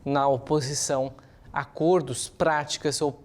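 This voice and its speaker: A man's voice: on the high side, level tone, unhurried. A man with a Brazilian accent giving opinionated, explanatory news commentary as a journalist, speaking semi-improvised and posing rhetorical questions.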